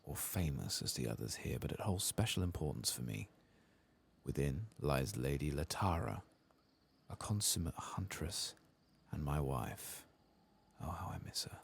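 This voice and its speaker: A man voicing a weary, grieving old elf in a fantasy game, soft-spoken, solemn and formal. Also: extremely low pitch, animated, measured pace, vocal fry, slightly rough, full character voice. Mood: wistful grief. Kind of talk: soft-spoken game dialogue read-aloud.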